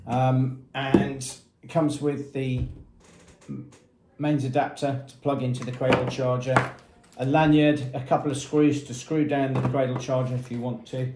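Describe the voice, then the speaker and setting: A man's voice: medium pitch, level tone, a little slow, reverberant, background noise. A man presenting a product demonstration in a methodical, matter-of-fact, explanatory way.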